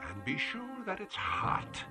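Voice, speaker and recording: Radio drama: a tyrannical old uncle, slow and drawn-out, pitch swooping from growl to whisper. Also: male voice, wildly swooping pitch, over music. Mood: sneering. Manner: sneering, insulting, drops to a menacing whisper. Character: cruel domineering elderly uncle.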